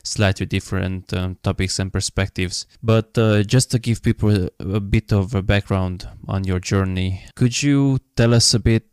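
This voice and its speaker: Podcast host, man, low, even, smooth voice, dry close mic. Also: dry recording. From Hungary. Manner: measured, with frequent "um" and "uh" fillers. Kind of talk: podcast interview.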